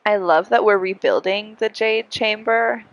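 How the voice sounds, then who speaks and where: A woman's voice: medium pitch, fairly even intonation, unhurried, lo-fi sound, a little hiss or hum. A congested woman game streamer chatting casually and expressively, breathing heavily and often giggly. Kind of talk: casual livestream chat and reactions. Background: game music.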